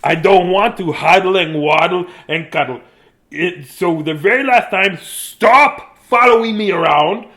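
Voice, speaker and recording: Man playing a huffy, high-voiced little penguin. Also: fairly even intonation, vocal fry, fairly bright tone, heavily acted. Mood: huffy, annoyed. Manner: huffy, emphatic, drawn-out refusals. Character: grumpy young male penguin wanting solitude.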